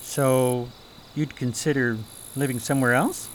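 A woman's voice: rumbling low, measured pace, a little hiss or hum. A woman playing an earnest, anxious and awkward young prince in a radio play. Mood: friendly. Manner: earnest, excitable, hesitant when shy. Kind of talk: radio theater acting.

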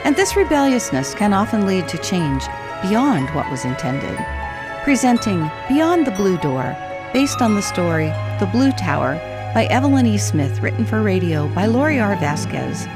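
Animated woman announcer over loud music. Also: medium pitch, moderate pace. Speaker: announcer, narrator. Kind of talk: scripted radio drama introduction.

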